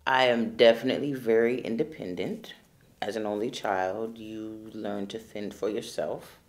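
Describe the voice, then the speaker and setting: A woman's voice: extremely low pitch, a little slow. A woman from Los Angeles, a spoken word poet, talking casually and wryly about her upbringing. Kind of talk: documentary interview and poetry.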